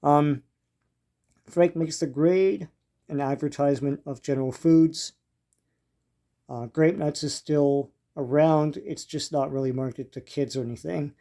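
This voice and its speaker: An older man giving casual, unscripted show-and-tell commentary as a host, in a halting, musing and informal way. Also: on the high side, steady tone, dark tone. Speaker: host leafing through vintage comic strips.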